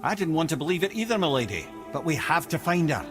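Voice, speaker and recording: An actor playing a chatty, witty old advisor in a video game, a wry storyteller. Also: male voice, fairly high pitch, very animated, quick pace, music in the background. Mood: encouraging. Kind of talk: video game dramatic acting.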